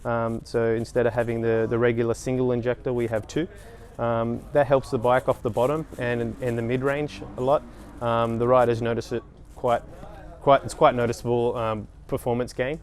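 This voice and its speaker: A man in his thirties, a race mechanic talking casually in an interview, with many pauses and restarts. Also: mid-range voice, level tone. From Australia. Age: 30s. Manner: hesitant, many ums and restarts.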